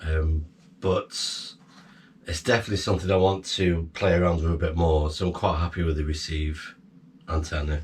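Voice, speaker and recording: A middle-aged man chatting casually and informally to camera, explaining things in a halting way. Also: deep voice, flat delivery. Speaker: vlogger, amateur radio hobbyist. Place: small wooden cabin, indoors. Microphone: camera a few metres away. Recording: distant camera mic, noisy room.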